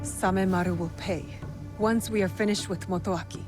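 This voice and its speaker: A woman's voice: medium pitch, fairly even intonation, normal speed, over music, lightly acted. A woman voicing a resolute young female assassin in a video game, terse, solemn and stern. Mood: grim resolve. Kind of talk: dramatic video game acting.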